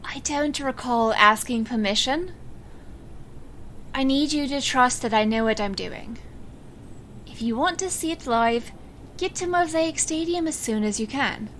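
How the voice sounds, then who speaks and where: A woman playing a guarded, resolute stage magician in an audio drama, speaking in a grave, measured, firm way. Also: sits high, expressive, a bit bright, a little hiss or hum. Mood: firm, resolved. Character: resolute, guarded woman stage magician facing her murderous birth father.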